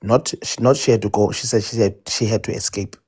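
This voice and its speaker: Man, low-ish voice, casual storytelling, hesitant, lots of restarts. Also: fairly even intonation, a little fast, a little mellow, slightly rough. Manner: rambling, hesitant with frequent restarts and fillers. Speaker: debate participant.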